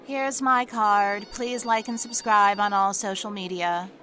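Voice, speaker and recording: A woman's voice: medium pitch, steady tone, a little slow, sharp tone, a little hiss or hum. A woman playing a queen's formal, dutiful assistant and herald in an audio comedy, ceremonious and polite.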